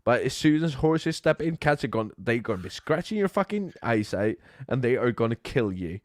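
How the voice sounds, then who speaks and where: A man's voice: medium pitch, a little fast, no echo, clean studio sound. A man with a Norwegian accent in casual chat, ranting playfully and swearing, recovering from flu. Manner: animated, swearing, playful rants. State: sick with flu, drinking. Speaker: podcast guest.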